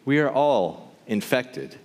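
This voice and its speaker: Impassioned male spoken word poet, animated pitch. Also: takes it slowly. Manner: impassioned, emphatic, rhythmic rhyming delivery. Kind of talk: theatrical spoken word performance to a live audience. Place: large hall, live before an audience. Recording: reverberant hall, live stage performance.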